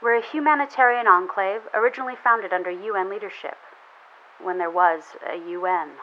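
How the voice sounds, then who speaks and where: Weary resolute leader over hissy radio. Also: female voice, medium pitch, brisk. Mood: solemn. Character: weary, resolute woman leader of a besieged humanitarian enclave. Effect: radio transmission filter. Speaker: community leader. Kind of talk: audio drama monologue as a broadcast distress plea. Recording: dull radio transmission with hiss.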